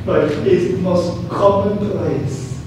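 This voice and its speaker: A man's voice: sits high, steady tone, slow pace. Brummie guy preaching a sermon, emphatic and repetitive, super echoey room w noticeable background noise. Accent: Birmingham English. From Birmingham, England. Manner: emphatic, repeats phrases, asks rhetorical questions. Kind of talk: sermon to a congregation. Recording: very echoey room, distant mic, clear noise.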